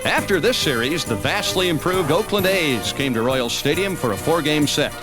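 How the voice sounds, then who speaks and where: An American man reading scripted sports documentary narration as the narrator of a highlight film. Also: lively intonation, moderate pace. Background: background music.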